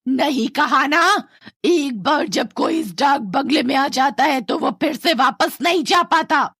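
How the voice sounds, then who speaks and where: A woman voicing a vengeful old ghost woman in a Hindi horror storybook tale, speaking in a slow, drawn-out, menacing way. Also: sits high, creaky, fairly bright tone, no echo, clean studio sound, pitched above the performer's own voice, heavily acted. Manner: slow, drawn-out, menacing delivery with evil cackles.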